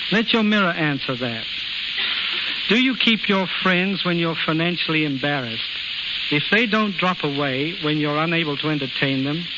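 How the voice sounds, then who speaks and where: A man giving scripted, instructive advice as a radio guest, in a measured manner. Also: fairly high pitch. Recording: muffled, heavily hissing 1930s radio recording.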